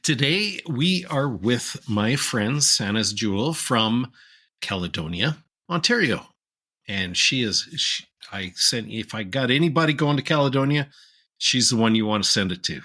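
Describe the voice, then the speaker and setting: A man hosting an interview podcast. His voice is lively and varied in pitch with a husky, breathy edge. He keeps things friendly and laughs easily. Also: measured pace. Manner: friendly, laughs often, animated rises in pitch. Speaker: podcast host. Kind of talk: casual interview chat.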